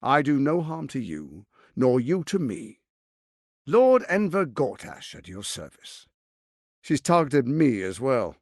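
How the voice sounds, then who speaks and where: A man's voice: medium pitch, sing-song, takes it slowly, darkish tone, no room sound, studio quality, heavily acted. A man voicing a suave, scheming, arrogant archduke in a fantasy video game, silky, grandiose and condescending in his theatrical delivery.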